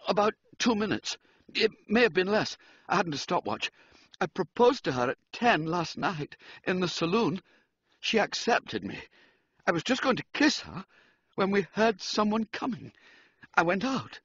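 A man voicing a panicky young gentleman who rambles frantically in a breathless rush. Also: sits high, very animated, a little slow, husky, highs cut off, pitched above the performer's own voice, exaggerated voice. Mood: frantic.

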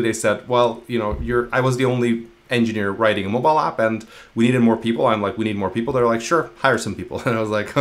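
Man from hungary, thirties, quick pace, restarts and repeats himself, earphone mic on a video call. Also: medium pitch, a bit bright. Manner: fast, restarting, repeats words. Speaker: guest, engineering manager. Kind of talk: casual interview talk. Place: home room, video call. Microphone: earphone headset mic.

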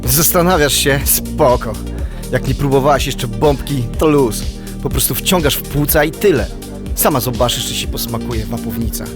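A man's voice: mid-range voice, normal speed, close to an everyday voice. A young actor playing a laid-back, slangy teenage boy who coaxes casually in an audio drama. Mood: casual, persuasive. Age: teens to young adult. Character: nonchalant teenage boy coaxing with casual assurance. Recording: music under the voice.

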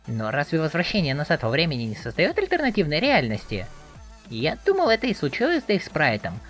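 An eager, curious teenage boy acted by a woman in a webcomic dub. The voice sits fairly low and leaps around wildly in pitch. He talks in an excitable, inquisitive, naive way, with music underneath. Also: a little fast. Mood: puzzled. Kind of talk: dramatic reading of a webcomic chat.

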